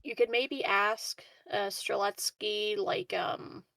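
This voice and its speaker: A woman's voice: medium pitch, takes it slowly, vocal fry, fairly bright tone, dry recording. A woman chatting casually as a player in a tabletop roleplaying game, offering suggestions tentatively.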